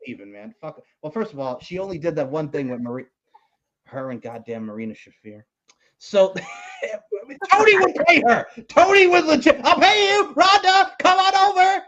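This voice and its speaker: Loud swearing New York man ranting. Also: very high voice, moderate pace. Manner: loud, animated, swearing, mocking impressions. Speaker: podcast co-host. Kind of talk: profane wrestling podcast rant.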